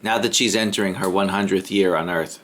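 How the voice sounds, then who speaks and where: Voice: sits low, fairly even intonation, fairly quick. A family member interviewing the celebrant with prompting questions. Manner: asking questions warmly, interviewer-style. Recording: noisy background.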